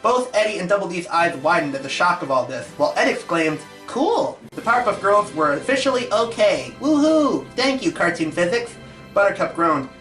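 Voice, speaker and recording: A man's voice: sits high, lively intonation, a little fast, hardly any pauses, bright, forceful tone, faint music behind. A man reading fan fiction aloud and commenting on it as the show's host.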